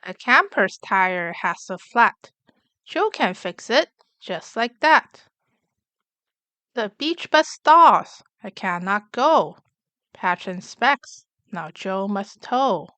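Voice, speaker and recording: A woman reading a rhyming picture book aloud for young children, in an expressive, clearly enunciated storytelling manner. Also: mid-range voice, slow pace, no echo. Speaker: children's story reader, channel host.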